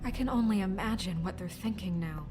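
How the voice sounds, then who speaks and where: An actress playing a polite, businesslike official with quiet concern in a cinematic video game. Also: female voice, medium pitch, brisk, noisy background, natural voice. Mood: concerned, questioning. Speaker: voice actor in a narrative video game. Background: music.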